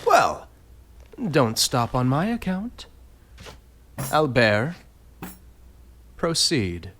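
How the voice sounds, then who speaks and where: An actor in an audio drama playing a dry, reserved gentleman. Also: mid-range voice, wildly swooping pitch, extremely slow, slight background noise, exaggerated voice.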